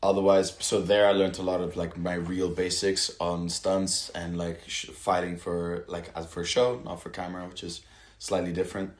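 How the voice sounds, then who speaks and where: Deep, flat-voiced man in an echoey room. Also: normal speed. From Italy. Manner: hesitant, lots of fillers and restarts. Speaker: stunt performer, podcast guest. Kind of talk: casual interview chat. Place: at home.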